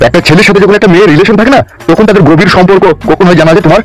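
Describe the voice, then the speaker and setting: A man's voice: high voice, rapid-fire, soft background music, close to an everyday voice. A man voicing a lecherous, corrupt politician, crude and wheedling, in a comedy cartoon.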